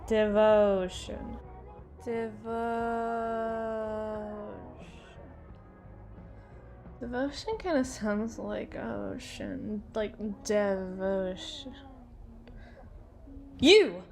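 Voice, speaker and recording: A woman's voice: medium pitch, drawn-out words, gravelly, a little mellow, soft background music. A woman performing an ASMR roleplay as a drunken, teasing, domineering female boss, rambling and stumbling over her words. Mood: teasing, smug.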